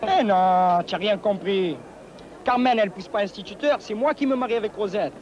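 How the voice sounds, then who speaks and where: An actor playing a hot-headed, aggrieved young suitor with a French Algerian pied-noir accent, blustering in a comic drama. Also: male voice, sits high, moderate pace, a little mellow, highs cut off, a faint crowd, a little hiss or hum, lightly acted. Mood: confiding, touchy. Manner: agitated, colloquial, blustering.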